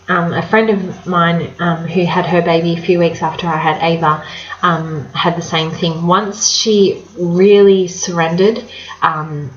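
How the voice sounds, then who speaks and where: A woman's voice: lowish voice, roomy sound, highs cut off. A young woman in her twenties, a vlogger chatting casually to camera and giving advice, with many ums and a slightly nasal sound from a cold. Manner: chatty, warm-hearted advice with many fillers.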